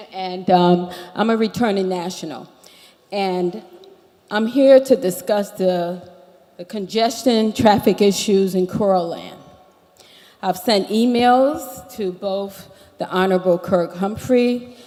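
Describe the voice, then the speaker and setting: A woman's voice: mid-range voice, slow, mellow. A woman from Barbados with an American accent, speaking as a resident at a public meeting and laying out her complaints point by point. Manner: earnest and methodical, listing complaints point by point. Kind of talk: public comment at a town hall. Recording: echoey hall.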